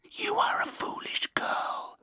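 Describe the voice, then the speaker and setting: A cold goddess acted out by a male narrator speaks in a breathy, menacing whisper. She is imperious and commanding, an audiobook immortal recorded close and dry. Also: takes it slowly, sharp tone, no room sound. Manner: imperious, threatening.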